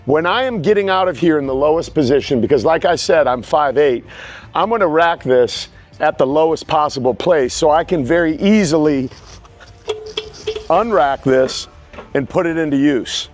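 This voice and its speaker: A man's voice: fairly high pitch, normal speed, soft background music. A middle-aged man giving a casual, frank product review of home gym equipment to camera, recorded outdoors in a backyard on a clip-on mic. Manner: plain, frank and explanatory.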